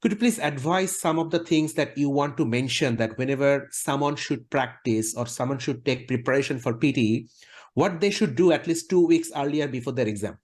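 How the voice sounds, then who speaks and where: A man with a mid-pitched voice, leading an interview. He speaks politely and at length, often restating what his guest has just said. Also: normal speed, darkish tone. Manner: polite, summarizing and wordy. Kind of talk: casual interview.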